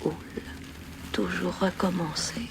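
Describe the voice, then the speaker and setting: Slow, creaky-voiced woman reciting poetry. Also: lowish voice, fairly even intonation, noisy background. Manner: slow, deliberate, often dropping into whispers. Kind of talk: poetic recitation in an experimental sound-art piece. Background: music.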